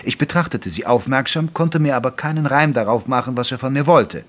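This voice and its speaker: An actor playing a detective's gentlemanly doctor companion, telling the story in wry, literate, dramatic narration. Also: male voice, mid-range voice, expressive, fairly quick, close to an everyday voice. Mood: wry, suspenseful narration.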